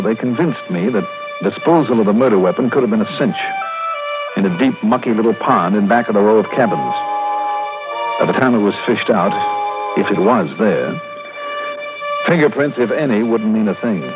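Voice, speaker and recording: Tinny old radio sound with music behind, and a man acting as a shrewd American detective. His voice is low and swings widely as he tells his case in a clipped, wry, dramatic way. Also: lively intonation, normal speed, pauses often, lightly acted. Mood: brooding, wry. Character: shrewd, hard-boiled male insurance investigator narrating his case. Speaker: lead actor and star of the radio series.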